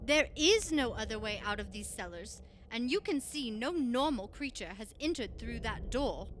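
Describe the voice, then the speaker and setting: An actress plays a superstitious woman warning of ancient evil, her voice very high and sharply bright. She pleads with ominous melodrama, like vintage radio horror, over faint hiss. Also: lively intonation, moderate pace, poor audio. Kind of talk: old-time radio horror acting.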